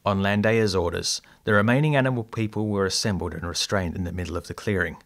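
An actor playing an adventurer's companion who narrates a fantasy audio drama in scripted storytelling style. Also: male voice, sits low, fast talker, a bit bright. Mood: measured.

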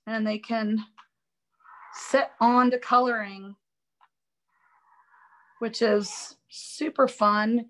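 A woman with a somewhat high voice leads a live online drawing class, talking casually as she works. Her voice is a touch raspy from allergies, and she thinks aloud in an upbeat, chatty way, often restarting her sentences. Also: a bit bright. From Florida. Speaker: art instructor.

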